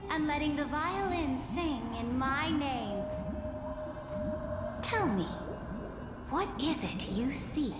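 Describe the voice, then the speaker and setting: A woman voicing an ambitious, commanding sovereign in a game, regal, deliberate and coaxing. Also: high-pitched, expressive. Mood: seductive, commanding. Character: imperious, ambitious female sovereign, seductive and commanding. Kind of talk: dramatic scripted game voice acting. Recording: echoey hall reverb under loud music.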